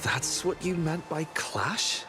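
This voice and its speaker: A man's voice: fairly high pitch, lively intonation, slow pace, over music. A man streaming a game, giving casual live commentary.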